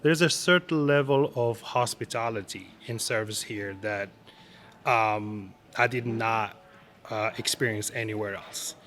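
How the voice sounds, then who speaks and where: A man's voice: fairly low pitch, unhurried, slight background noise. Moroccan-born guy chatting on a podcast, earnest, lots of ums and restarts. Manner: earnest, warm-hearted, speaks with many fillers and restarts. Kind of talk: casual podcast chat.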